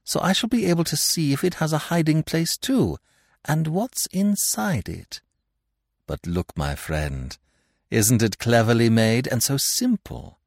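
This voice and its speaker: A man reading aloud and voicing a suave, taunting gentleman thief. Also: mid-range voice, expressive, unhurried, gravelly, no room sound, studio quality, voice pushed up. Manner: taunting, playful, theatrical. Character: mocking suave gentleman thief, male adult.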